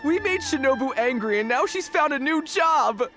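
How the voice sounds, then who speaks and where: A young gang henchman from a video game, high-pitched and easily rattled. The delivery is panicky and stammering, with loud music underneath. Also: expressive, normal speed. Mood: distraught. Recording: music behind the voice.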